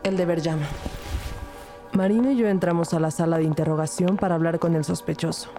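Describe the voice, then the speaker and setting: A woman with a fairly low voice acting as a determined, probing detective. She delivers scripted crime-drama lines over clear background music. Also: steady tone, a little fast, dark tone, close to an everyday voice. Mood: focused narration. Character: female police detective narrating her case, probing, determined. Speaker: narrator and actor in a crime audio drama. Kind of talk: scripted first-person narration and interrogation.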